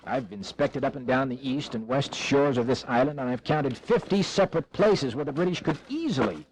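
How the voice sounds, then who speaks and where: A man's voice: mid-range voice, lively intonation, moderate pace, slight background noise, close to an everyday voice, low-quality recording. A man playing an earnest, deferential army officer in a historical audio drama, with a formal, respectful delivery. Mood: frustrated.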